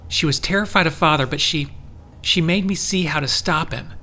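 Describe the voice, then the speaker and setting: A man's voice: fairly high pitch, moderate pace, sharp tone. A man playing an earnest, troubled, lovelorn farm resident in an audio drama, speaking confidingly. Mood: troubled, regretful. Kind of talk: scripted audio drama acting.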